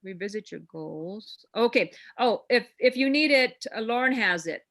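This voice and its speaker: A woman's voice: on the high side, animated, moderate pace, a bit bright. A woman hosting a real estate class on a video call, coaching in a chatty, encouraging way that rambles with fillers. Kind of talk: informal coaching talk.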